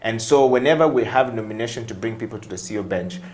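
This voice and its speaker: A man hosting an interview, phrasing his questions in a deliberate, emphatic way. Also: on the low side. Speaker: talk show host. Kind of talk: conversational interviewing.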